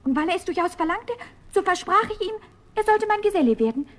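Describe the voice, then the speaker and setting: A woman's voice: very high voice, animated, fast. An actress playing a spoiled, fretful young princess in a fairy-tale radio play, girlish and plaintive. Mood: anxious.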